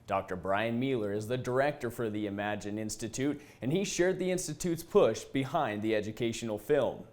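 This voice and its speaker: A man's voice: mid-range voice, a little fast, darkish tone. A man hosting an agricultural news segment, reading scripted narration in a broadcast news style. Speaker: news host.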